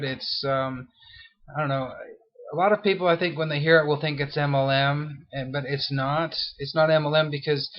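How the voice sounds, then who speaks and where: A man's voice: fairly high pitch, lo-fi sound. A man in his thirties with a mixed American and Australian accent, chatting casually to his email subscribers in a video blog, rambling and apologetic with many fillers and restarts. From California, United States. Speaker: internet marketer vlogging to subscribers.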